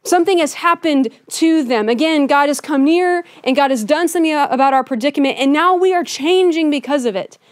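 A woman pastor giving a Christmas sermon in a church, conversational and earnest, often softening her points with "kind of.". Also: high voice, a little fast, few pauses, dry recording, studio quality. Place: church sanctuary with a Christmas tree.

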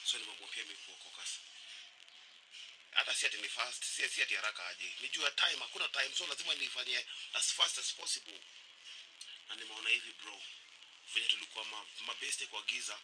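Noisy recording, man acting a streetwise Kenyan pal, lowish voice, quick talker, comedy skit. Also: close to an everyday voice. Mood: earnest. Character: streetwise, excitable male buddy on the phone. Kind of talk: scripted comedy skit phone chat, mixing Swahili and English. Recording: clearly noisy background.

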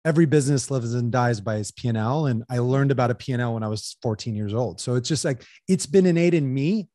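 A man in his thirties talking casually as an interview guest, rambling with lots of fillers, into a boom mic in a small room. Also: fast, no echo, studio quality. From United States. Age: 30s. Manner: rambling, filler-heavy, animated hand gestures. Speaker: guest entrepreneur. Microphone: headset with boom studio mic.

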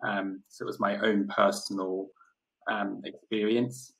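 Young deaf man, slow flat gravelly delivery, video call panelist. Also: on the low side, poor audio. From London, UK. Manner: deaf speaker, slow and careful. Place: home room.

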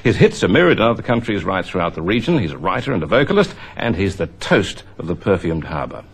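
Older male host, husky, very animated studio intro. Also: low-pitched, fast. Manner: formal, announcerly. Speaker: TV presenter. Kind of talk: broadcast introduction. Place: television studio.

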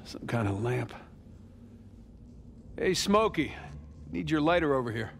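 A man's voice: medium pitch, very animated, unhurried, vocal fry. A man playing a wry, understated, adventurous American treasure hunter in a naturalistic video game performance. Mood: curious, teasing. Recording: game ambience noise behind.

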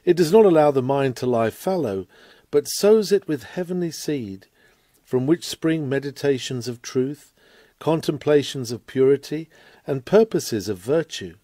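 Expressive man reading a sermon, dry recording. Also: medium pitch, no echo. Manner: measured, formal, emphatic oratorical delivery. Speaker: reader of a sermon.

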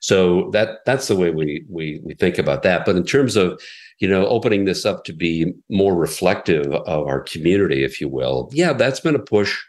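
A middle-aged man with a very low, deep voice talks through his ideas in a measured, explanatory way. He often restarts his sentences as he works out what he means, like a seasoned radio executive answering interview questions. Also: animated. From United States. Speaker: radio station president, guest.

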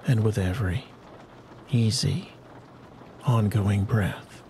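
A man with a lowish voice guides listeners toward sleep in a hypnosis narration. He speaks very slowly and soothingly, leaving long pauses between short phrases. A soft bed of rain and thunderstorm sound sits behind his voice. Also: a little hiss or hum. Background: rain and distant thunder ambience.

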